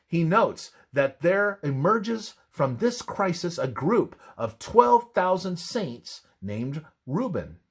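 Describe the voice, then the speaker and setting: A man reading scripted religious narration in a solemn, measured, emphatic manner. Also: fairly high pitch.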